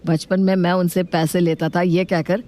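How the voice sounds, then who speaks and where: A man playing an easygoing, amused father in an animated family story. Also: high voice, moderate pace, natural voice.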